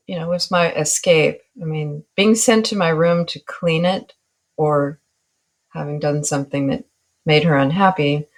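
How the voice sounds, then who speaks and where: A woman in her sixties from Northern California, a guest artist in an interview, talking in a reflective, wry way and laughing at herself. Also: sits low. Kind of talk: relaxed interview talk.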